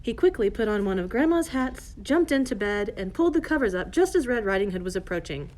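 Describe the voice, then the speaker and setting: A woman tells a children's fairy tale as narrator. Her pitch is middling and she reads from a script, with faint noise behind her. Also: big pitch swings, fairly quick, a little mellow, poor audio. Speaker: storyteller, narrator.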